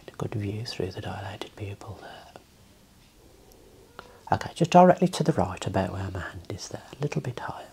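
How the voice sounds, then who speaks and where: Whispering man, low and creaky, ASMR. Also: brisk, a little hiss or hum. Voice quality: soft, mostly whispered. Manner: calm, instructive, reassuring. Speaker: optometrist in an ASMR roleplay. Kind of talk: ASMR roleplay of an eye examination.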